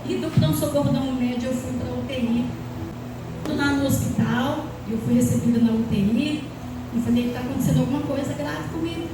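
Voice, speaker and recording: A Brazilian woman giving an earnest, emotional testimony to a church congregation. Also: high-pitched. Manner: earnest, emotional storytelling with pauses. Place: church hall. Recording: very echoey church from far off, loud music. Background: loud music under the speech.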